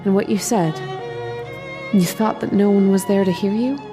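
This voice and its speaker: A woman's voice: medium pitch, moderate pace, over music, close to an everyday voice. Woman acting adoring, eerie dream sweetheart. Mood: adoring, wistful. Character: adoring, possessive young dream sweetheart, eerily gentle. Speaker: actress in a horror radio play. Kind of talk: old-time radio drama acting.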